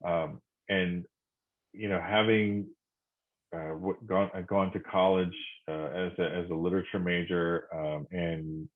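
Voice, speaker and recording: A middle-aged man from Korea reflecting in conversation, speaking thoughtfully with many pauses and restarts. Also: sits low, steady tone. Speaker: podcast guest. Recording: phone-like narrow sound.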